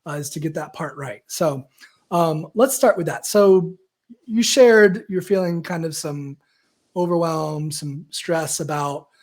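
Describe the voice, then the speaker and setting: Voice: medium pitch, unhurried, a little mellow. Chatty live-stream coach with many fillers. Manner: chatty and rambling, with many fillers and self-corrections. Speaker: coach and host.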